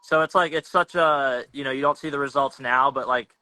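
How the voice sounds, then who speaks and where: A man's voice: medium pitch, brisk, bright, forceful tone, no echo, poor audio. A young man hosting a podcast in a casual, slangy, rambling chat over a video call, often restarting his sentences. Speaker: podcast host.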